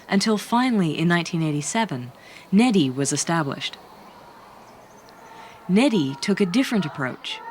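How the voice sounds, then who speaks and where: A woman reading a scripted documentary narration in an even, informative way. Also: fairly low pitch, noisy background.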